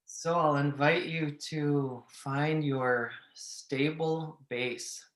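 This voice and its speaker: Guy leading a guided meditation, talks really slow and gentle w long pauses, roomy echo. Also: a little high, steady tone. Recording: echoey room.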